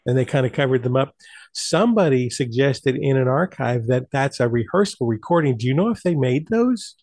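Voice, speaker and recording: A man with a mid-pitched voice talks casually in a group, as the presenter of the evening. The sound is dry, with very little room on it. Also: animated, moderate pace, darkish tone, no echo. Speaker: presenter of an online old-time radio night.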